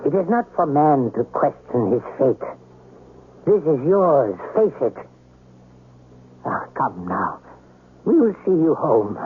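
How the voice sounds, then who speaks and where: Grave, loyal manservant, tinny sound. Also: male voice, on the high side, very animated, slow pace, long pauses. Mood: stern rebuke. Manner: grave, formal, declamatory. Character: loyal male servant, grave, stern, moralizing. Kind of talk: 1970s radio drama acting. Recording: phone-like noisy vintage radio.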